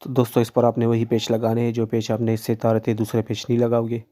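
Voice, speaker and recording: Mellow, flat-toned man giving instructions. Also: mid-range voice, fast talker. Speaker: phone repair tutorial presenter. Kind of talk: step-by-step how-to narration.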